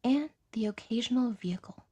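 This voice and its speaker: A woman's voice: fairly high pitch, brisk, a crowd in the background. A woman reading scripted fiction as the narrator of an audio drama.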